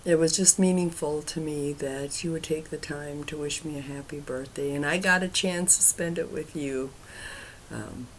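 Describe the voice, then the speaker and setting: Middle-aged woman vlogger, deep and creaky, froggy from a cough, light hiss behind. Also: rough recording. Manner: hesitant, many ums, trailing off to near whispers. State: raspy cough, froggy throat. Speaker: cross-stitch vlogger. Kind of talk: casual chat to viewers.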